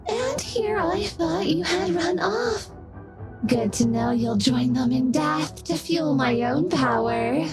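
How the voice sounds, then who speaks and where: An arrogant monster villainess from a fantasy roleplay. The voice is extremely creaky and gravelly yet high, delivered slowly. She gloats with menace over clearly audible music. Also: lively intonation, full character voice. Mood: gloating. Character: sinister, arrogant female villain imposter creature.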